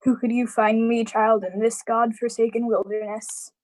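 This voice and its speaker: A woman's voice: fairly high pitch, little pitch movement, a little mellow, lightly acted, low-quality recording. A woman playing a lonely, sad uncle in a live radio drama, hesitant and evasive. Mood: wistful, self-pitying. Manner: hesitant, halting.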